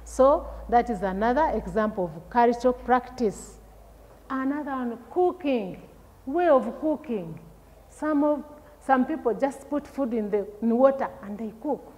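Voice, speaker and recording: A middle-aged Ugandan woman teaching a lesson to pupils, explaining slowly, asking them questions and repeating key words. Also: a little high, expressive, darkish tone, in a large hall. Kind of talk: recorded classroom lesson for pupils. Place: classroom with projector screen.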